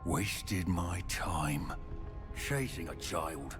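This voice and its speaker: An actor playing an embittered, tyrannical goblin rebel leader in a video game, gravelly, theatrical and contemptuous. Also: male voice, low voice, extremely slow, music in the background. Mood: bitter contempt. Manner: theatrical, contemptuous, erupting into shouts. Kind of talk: dramatic video game villain acting.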